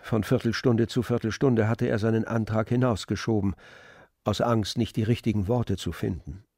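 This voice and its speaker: A man narrating a literary radio play in a measured, composed manner. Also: fairly low pitch, fairly even intonation, darkish tone, dry recording. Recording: dry studio with faint music.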